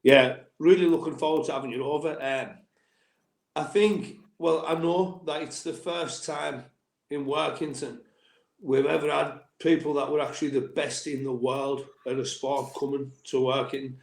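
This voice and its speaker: A man's voice: medium pitch, steady tone, normal speed, vocal fry, reverberant. A man hosting a podcast and promoting an event, asking questions in a friendly, enthusiastic way. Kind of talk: casual interview chat.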